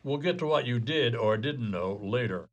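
A man's voice: medium pitch, animated, moderate pace, muffled recording. Man playing a wry, theatrical police detective. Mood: stern, triumphant. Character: wry, commanding male police detective unmasking a culprit. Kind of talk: comedy whodunit radio play acting.

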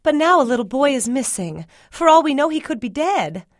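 A woman narrator plays a country mother in an audiobook story, her voice lifted high and edged with exasperation. She scolds and presses with questions, sharp and worried, in a very dry recording. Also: very animated, a little fast, no room sound. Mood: anxious, insistent. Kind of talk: audiobook character dialogue.

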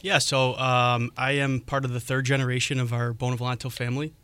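A man's voice: mid-range voice, little pitch movement, brisk, pushed and bright, smooth. A man who is a guest on a radio show, answering interview questions about his work with a hesitant manner and frequent "um"s. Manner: hesitant, lots of "um"s, friendly. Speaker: restaurant creative director, radio guest. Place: radio studio. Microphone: studio microphone.

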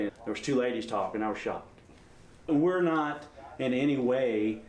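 A man's voice: lowish voice, darkish tone. A man talking casually and plainly as an amateur radio volunteer being interviewed. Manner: informal, plainspoken. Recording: roomy, echoing interview recording.

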